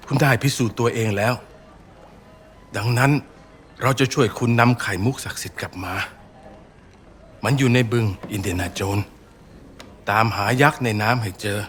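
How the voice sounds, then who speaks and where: A man's voice: mid-range voice, very fast, long pauses, a bit bright, soft background music, lightly acted. An actor playing an earnest Thai village man who tells old legends in a game cutscene. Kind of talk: cinematic game cutscene acting.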